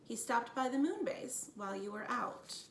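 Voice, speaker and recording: A wise, gentle enchantress read by a woman from a children's storybook. She speaks in a composed, measured way with a touch of fry, in a roomy recording. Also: a little high, expressive. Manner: soft-spoken, measured. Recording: roomy, distant mic, faint noise.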